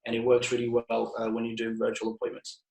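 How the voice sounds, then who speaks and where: A young man from Bulgaria chats in a monotone, stumbling over his words with plenty of "um"s and restarts. His voice rings a little in an echoey room. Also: mid-range voice, quick pace, gravelly. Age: 20s. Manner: hesitant, many restarts and fillers. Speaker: marketing agency guest. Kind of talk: casual podcast interview.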